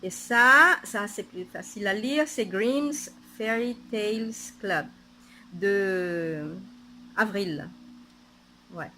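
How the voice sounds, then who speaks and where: A woman whose first language is Portuguese talks through her knitting projects in a bright, clear voice with a Portuguese accent. She speaks slowly and haltingly, with frequent fillers, restarts and small laughs. A faint room hum sits behind her. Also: mid-range voice. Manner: hesitant, many fillers and restarts, laughs often. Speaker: knitting podcaster. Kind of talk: casual knitting vlog.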